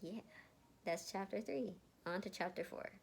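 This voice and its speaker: A woman's voice: medium pitch, unhurried, gravelly, a little hiss or hum. A woman reading fan fiction aloud in an amateur way, stumbling over words and rereading them, with casual asides.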